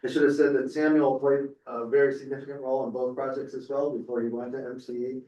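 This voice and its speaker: A man's voice: medium pitch, a little mellow, highs cut off. Man moderating a panel in an echoey room. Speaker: session moderator. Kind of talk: introducing a speaker. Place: conference room. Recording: echoey room, speaker far from mic.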